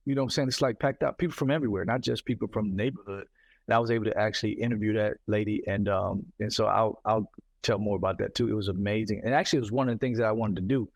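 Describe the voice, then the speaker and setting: A man from Mississippi, telling stories in an animated casual conversation and often repeating himself. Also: on the low side, racing, gravelly, dry recording. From Mississippi, United States. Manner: animated storyteller, repeats words, says "you know what I'm saying". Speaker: podcast host.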